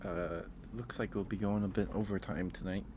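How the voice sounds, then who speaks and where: Low-pitched man, soft-spoken ASMR streamer. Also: steady tone, normal speed, background noise. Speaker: live streamer and host. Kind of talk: casual ASMR livestream chat.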